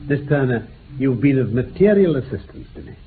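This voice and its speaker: A man acts out a keen-witted British gentleman detective in an old radio play. His delivery is clipped and commanding, with lively swings of pitch. The recording is thin and band-limited, with faint noise. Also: brisk, narrow, tinny audio, natural voice. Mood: courteous. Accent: British English. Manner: clipped, commanding, theatrically animated. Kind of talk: old-time radio drama acting.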